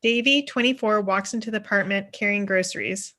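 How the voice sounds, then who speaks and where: Bright-voiced young woman narrating. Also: mid-range voice, fairly quick. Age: young adult. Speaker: stage-direction narrator. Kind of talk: scripted narration. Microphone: video call.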